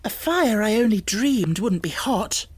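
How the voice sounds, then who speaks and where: A woman's voice: medium pitch, expressive, a little slow, darkish tone, breathy edge, no echo, voice pushed up. A woman voicing a poor, dreamy young girl full of wonder, in rapt, fervent exclamations for a storybook. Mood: amazed, rapturous. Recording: music bed under the voice. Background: music.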